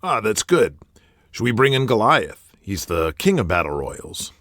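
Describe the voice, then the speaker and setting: A man's voice: fairly low pitch, very animated, a little slow, a bit bright, no echo, studio quality, full character voice. A man playing a cooperative but hesitant pro wrestler in an audio drama. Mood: wary. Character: wary heel male pro wrestler, cooperative.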